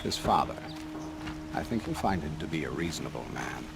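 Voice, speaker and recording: A man playing a courtly royal advisor in a video game, speaking in a formal, wry, measured way. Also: a bass voice, lively intonation, gravelly, loud music behind the voice, heavily acted. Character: smooth, courtly male spymaster advisor to a king. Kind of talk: video game cutscene acting.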